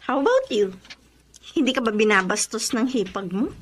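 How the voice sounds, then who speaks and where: High-voiced worried young girlfriend. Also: expressive, normal speed. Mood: anxious, probing. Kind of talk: Filipino radio drama acting.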